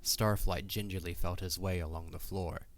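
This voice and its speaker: A man's voice: low voice, fairly even intonation, normal speed, faint music behind. A man reading scripted narration for an audio drama as its narrator.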